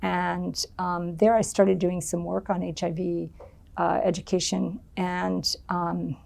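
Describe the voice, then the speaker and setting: A woman's voice: lowish voice, level tone, takes it slowly, gravelly, a little mellow. A middle-aged American woman giving an oral history interview, speaking thoughtfully with many ums and restarts. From United States.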